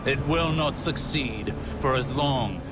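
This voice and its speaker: An actor playing an earnest, cautious male mentor in a sci-fi game, with deliberate, formal, weighty delivery. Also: mid-range voice, over loud music, natural voice. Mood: grave, determined. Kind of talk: scripted game cutscene dialogue and narration.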